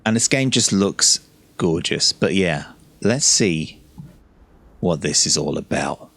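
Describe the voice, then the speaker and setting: Low-pitched man, gushing gamer commentary. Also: expressive, slow, creaky, fairly bright tone, no echo. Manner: gushing, easily delighted, muttering asides. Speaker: host of gameplay videos. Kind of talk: casual let's play commentary.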